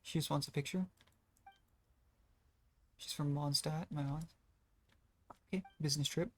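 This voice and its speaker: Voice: mid-range voice, steady tone, a little slow, a bit bright. A game streamer rambling casually through gameplay commentary, thinking aloud in a self-deprecating way. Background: video game music.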